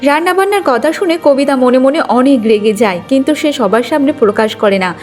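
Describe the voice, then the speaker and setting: A woman's voice: high-pitched, fairly quick, a little mellow, faint music behind. A woman storyteller reading scripted narration for a moral tale.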